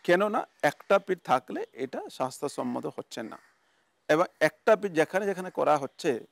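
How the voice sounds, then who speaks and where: A man's voice: a little high, animated, normal speed, vocal fry, no room sound. A man with a West Bengal accent explaining policy to the public as a government official.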